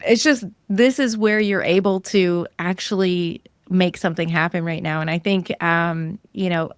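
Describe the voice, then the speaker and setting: A woman's voice: fairly low pitch, steady tone, moderate pace, clean tone, dry recording. A woman hosting a podcast, chatting casually and encouragingly with her guest. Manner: encouraging, affirming interjections. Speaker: podcast host. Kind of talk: casual interview chat.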